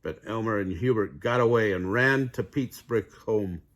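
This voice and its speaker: A man narrating a children's fairy tale aloud in an expressive storytelling style. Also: on the low side, moderate pace, a little hiss or hum. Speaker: storybook reader.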